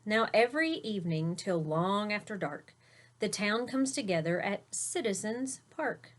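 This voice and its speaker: A woman reading a children's picture book aloud like a teacher, with deliberate emphasis and short asides to her listeners. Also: medium pitch, expressive. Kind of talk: expressive read-aloud storytelling for young children.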